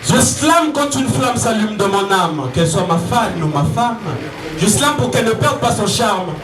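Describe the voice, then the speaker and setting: A man's voice: high voice, animated, normal speed. A man performing slam poetry in a declamatory, rhyming, rhythmic delivery. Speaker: slam poet. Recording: echoey live stage, distant mic, music behind. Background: music.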